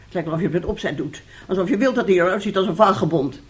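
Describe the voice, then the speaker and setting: An actress as a bitter, nagging middle-aged wife. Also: female voice, fairly low pitch, racing, lightly acted. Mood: reproachful. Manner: reproachful, sarcastic, theatrical. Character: bitter, nagging, mocking wife of a failed composer. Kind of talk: radio drama acting.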